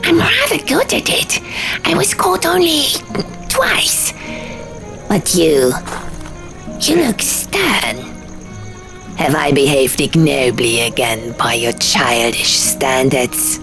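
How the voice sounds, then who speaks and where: A woman's voice: sits low, sing-song, slow, pauses often, exaggerated voice. A woman voicing a fussy, childlike male gargoyle with pompous, archaic, theatrical phrasing in a storybook audiobook. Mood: proudly mischievous. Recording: music behind.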